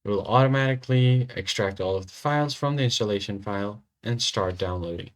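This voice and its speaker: A man narrating a step-by-step software tutorial in an informal, instructional way. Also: lowish voice, a little fast. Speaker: tutorial host.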